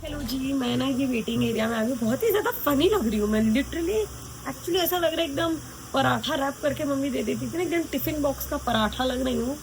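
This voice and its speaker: High-voiced young woman vlogging chattily. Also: brisk, music in the background, rough recording. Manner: chatty, rambling, self-mocking. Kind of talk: casual vlog chat to camera.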